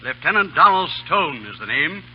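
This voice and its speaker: An actor in an old radio drama playing a brisk, fair-minded army major with an official manner. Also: male voice, fairly high pitch, very animated, slight background noise. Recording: muffled, humming old radio broadcast.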